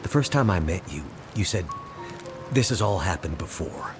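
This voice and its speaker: A man's voice: low voice, lively intonation, moderate pace, over music. An actor playing a weary, stubborn, self-doubting man out searching the wilderness in a video game drama. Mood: frustrated, searching.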